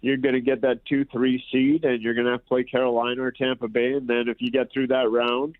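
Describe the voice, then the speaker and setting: A stuffed-up man with a nasal voice calls in to talk hockey, circling back and restarting his sentences as he goes. His mid-pitched voice comes through a tinny phone line that sounds thin and cramped. The sound is dry, with no room around it. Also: flat delivery, fairly quick, no room sound. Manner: rambling, repeats and restarts phrases. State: stuffed-up nose. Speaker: hockey radio analyst, guest. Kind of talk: casual sports talk over the phone.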